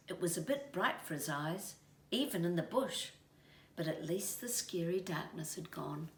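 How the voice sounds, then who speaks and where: A woman reading a children's story aloud in an expressive, deliberate way. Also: lowish voice. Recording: roomy, distant mic, faint noise.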